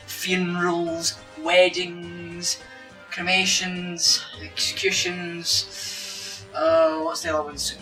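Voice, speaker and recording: A woman's voice: on the low side, fairly bright tone, distant mic, natural voice, low-quality recording. Woman playing a cheeky court jester, off-mic. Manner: theatrical, bantering, teasing. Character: cheeky court jester cracking ill-timed jokes. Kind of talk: improvised in-character Q and A skit. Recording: off-mic voice with music behind.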